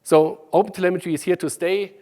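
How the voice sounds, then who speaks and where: A man from Germany with a German accent, giving a conference tech talk, halting with many ums and restarts. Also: sits high, steady tone, warm tone. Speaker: conference speaker. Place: large conference hall. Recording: hall reverb.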